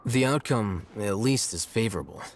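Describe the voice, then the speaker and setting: A man's voice: lowish voice, lively intonation, moderate pace, noisy background, lightly acted. An actor playing a composed, aloof man in a video game, cool and unruffled.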